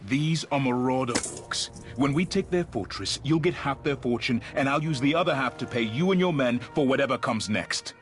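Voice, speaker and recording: An actor playing a resolute, dry and terse warrior hero in a video game cutscene. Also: male voice, medium pitch, expressive, moderate pace, few pauses, faint music behind, close to an everyday voice. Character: dry, resolute male warrior, calmly determined. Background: faint game score.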